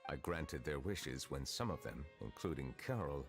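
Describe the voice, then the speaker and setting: A very deep, measured male voice playing an ancient dragon turned judge, formal and solemn in every word. Loud music runs under him in the game. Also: expressive.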